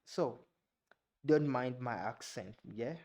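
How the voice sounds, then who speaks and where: A young Ugandan man with a Ugandan English accent, his first language Luganda, reading aloud haltingly and sounding out unfamiliar words, with casual asides. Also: medium pitch, a little mellow. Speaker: vlogger.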